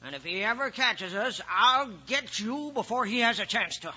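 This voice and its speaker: A man's voice: extremely high pitch, expressive, moderate pace, pushed and bright, breathy edge, exaggerated voice. A man playing a menacing, hot-tempered outlaw gang boss in an old radio western, snarling and commanding. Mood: grim, scheming. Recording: dull vintage radio transcription.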